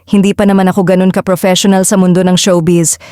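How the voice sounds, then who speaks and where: A woman's voice: on the low side, little pitch movement, fast talker, dark tone, no room sound. Woman narrating an audio drama, dry recording. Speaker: narrator and lead voice actor. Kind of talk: scripted first-person audio drama narration.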